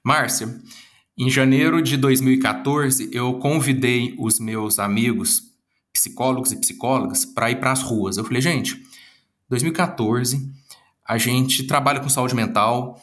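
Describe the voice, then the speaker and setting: A man's voice: medium pitch, measured pace, a bit bright. Earnest man with a Minas Gerais accent. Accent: Minas Gerais Brazilian Portuguese. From Minas Gerais, Brazil. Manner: earnest, listing words in long runs. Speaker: guest, psychologist and speaker. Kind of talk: interview answers, speech-like.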